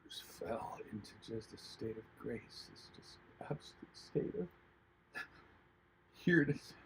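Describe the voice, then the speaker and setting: A man from Hawaii telling a personal spiritual story in a halting, earnest way, with many restarts. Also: on the low side, lively intonation, vocal fry, slight background noise, rough recording. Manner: earnest, reflective. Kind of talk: personal testimony, casual storytelling.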